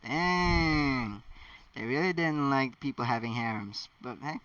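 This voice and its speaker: A man's voice: mid-range voice, unhurried, fairly bright tone, no echo. A man chatting casually and reading fan fiction aloud in a rambling, amused way. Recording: distant mic, dull lo-fi sound.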